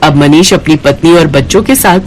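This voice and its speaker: A narrator reading a scripted moral story in an expressive storytelling style. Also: medium pitch, a little fast, slight background noise, rough recording.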